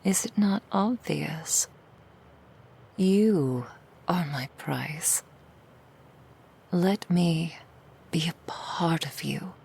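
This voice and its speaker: A woman playing a seductive female demon in an ASMR roleplay, coaxing the listener in a breathy whisper with soothing, insidious persuasion. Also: on the low side, very slow, long pauses, darkish tone, heavily acted. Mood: possessive, soothing. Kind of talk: ASMR roleplay, intimate monologue.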